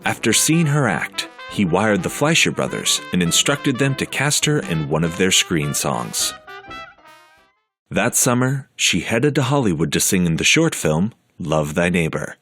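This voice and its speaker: A man narrates a documentary in a very low voice. His reading is steady and measured. Music plays clearly beneath him. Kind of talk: scripted narration.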